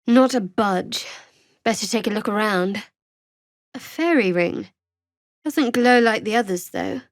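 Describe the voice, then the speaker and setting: A woman playing a guarded, devout, dryly sardonic young cleric in a video game, speaking in a measured way. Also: mid-range voice, no room sound, studio quality, lightly acted. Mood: watchful.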